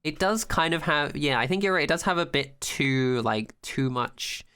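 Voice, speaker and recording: Bright, clear-voiced enthusiastic podcast co-host. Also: mid-range voice, lively intonation, moderate pace. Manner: enthusiastic, sweary. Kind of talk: casual TV episode recap chat.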